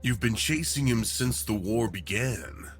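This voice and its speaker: A man reading scripted second-person story narration in a measured, hushed storytelling manner. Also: fairly low pitch, lively intonation, soft background music.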